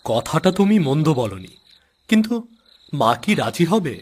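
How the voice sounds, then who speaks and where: A man's voice: on the high side, sing-song, unhurried. A man voicing a hesitant, resigned, compliant husband in a cartoon. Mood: hesitant, yielding.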